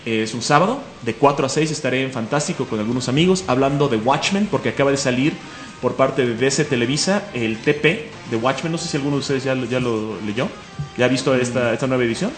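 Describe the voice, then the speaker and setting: Man, Mexican accent, radio host, rapid talk, roomy studio, faint music. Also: medium pitch, lively intonation. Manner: fast, joking, enthusiastic, laughs often. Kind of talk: casual radio chat.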